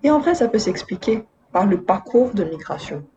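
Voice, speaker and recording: A woman's voice: medium pitch, big pitch swings, normal speed, dark tone, muffled recording, slight background noise. A woman raised in Côte d'Ivoire and France, giving casual spoken commentary to her viewers with chatty, wry, slangy asides. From Madagascar, raised in Côte d'Ivoire and France. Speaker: video essayist.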